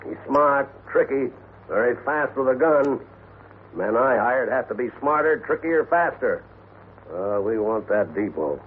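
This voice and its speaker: Businesslike frontier railroad agent male actor, medium pitch, plain-spoken, vintage tinny radio drama. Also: lively intonation, a little slow, close to an everyday voice. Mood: wary, firm. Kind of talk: 1950s western radio acting. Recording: tinny, noisy old radio recording.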